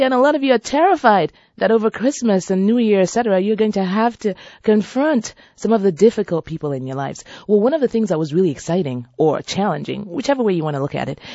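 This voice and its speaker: A woman hosting a radio talk show in a husky voice, chatty and playful, talking straight to her listeners. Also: mid-range voice, lively intonation, quick pace, dark tone, no echo, dull audio. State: husky voice from a seasonal cold. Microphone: studio microphone.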